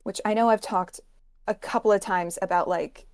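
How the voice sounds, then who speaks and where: A woman's voice: medium pitch, steady tone, normal speed, no room sound, clean studio sound. A woman guesting as a music expert, explaining things in a casual, enthusiastic way.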